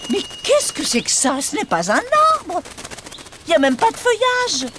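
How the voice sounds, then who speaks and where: High-pitched grumpy cartoon swallow. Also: female voice, sing-song, moderate pace. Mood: impatient grumbling. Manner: complaining, demanding, theatrical. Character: grumpy, fussy female swallow, weary migrating bird. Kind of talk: preschool cartoon acting. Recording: music behind the voice.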